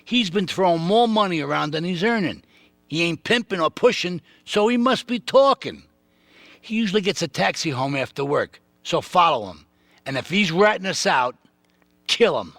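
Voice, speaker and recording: A man playing an older mob boss in a game cutscene, gruff and commanding. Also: high-pitched, expressive, normal speed, a bit bright, no room sound. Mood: grave. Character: older male mob boss, bossy and crude. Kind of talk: video game cutscene acting.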